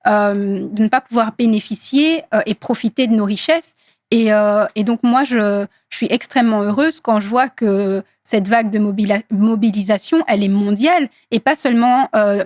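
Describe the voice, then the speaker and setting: Congolese woman, swahili first language, earnest and halting, bit high voice, dry studio sound. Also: darkish tone, dry recording. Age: 30s. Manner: earnest, hesitant with many fillers. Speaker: guest activist. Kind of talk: interview conversation.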